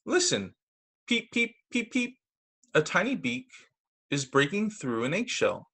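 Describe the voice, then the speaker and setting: A man teaching young children through a read-aloud storytime on a video call, with expressive, deliberate phrasing. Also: sits high, slightly rough. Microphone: video call microphone.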